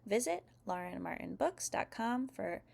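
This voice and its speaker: A woman with a mid-range voice and a noticeable creak reads aloud as if to a group of small children. Her delivery is scripted and expressive, with the instructive manner of a teacher addressing young listeners. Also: mid-range voice, unhurried. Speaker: host reading children's picture books aloud.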